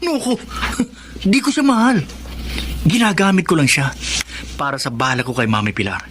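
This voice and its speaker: A man's voice: moderate pace, background noise, close to an everyday voice. A man playing a manipulative young boyfriend who wheedles, gloats and snaps in a radio drama. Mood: gloating. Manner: wheedling then sneering, jumpy pitch. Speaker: villain boyfriend. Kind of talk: Tagalog radio drama acting.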